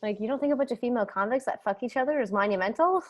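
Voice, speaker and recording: A woman's voice: a little high, rapid-fire. A young woman hosting a podcast in casual chat, irreverent and joking, swearing freely. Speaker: podcast host.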